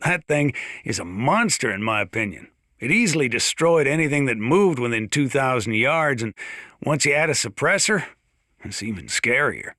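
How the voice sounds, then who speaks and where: An actor voicing a folksy, gun-loving sheriff in a video game, chatty and opinionated, as if hosting a radio monologue. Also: male voice, mid-range voice, very animated, normal speed, pushed and bright, no room sound, studio quality. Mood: fond, chatty. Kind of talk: scripted radio monologue, folksy instructional commentary.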